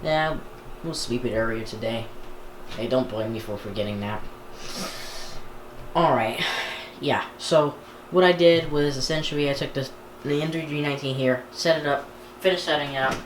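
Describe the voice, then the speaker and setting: Casual vlogger rambling on, mid pitched voice, echoey room w noise behind. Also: moderate pace. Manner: rambling, repeats words and restarts sentences. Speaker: video creator showing a radio collection. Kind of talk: casual unscripted vlog. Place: indoor room.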